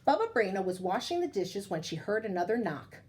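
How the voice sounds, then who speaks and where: A woman's voice: mid-range voice, brisk. A woman storyteller reading a picture book aloud to children, expressively and speaking straight to her young listeners. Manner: animated, addresses young listeners directly.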